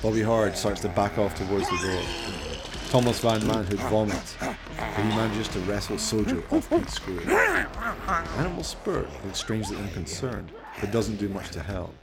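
A man's voice: lowish voice, lively intonation, normal speed. A man reading scripted radio play narration in a melodramatic storytelling manner.

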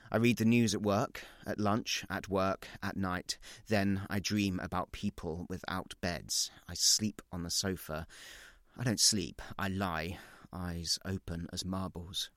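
Guy doing intense confessional story narrator eaten up by guilt, kinda low voice, super dry sound, clipped phrases. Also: fairly even intonation, unhurried, fairly bright tone, no room sound. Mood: brooding, guilty. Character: guilt-ridden, unravelling well-off narrator, anguished and obsessive. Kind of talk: dramatic first-person short story reading.

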